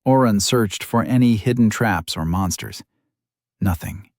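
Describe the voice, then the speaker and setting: A man narrating a fantasy novel as an audiobook, in a steady, even storytelling manner. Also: fairly low pitch, measured pace, no echo, studio quality.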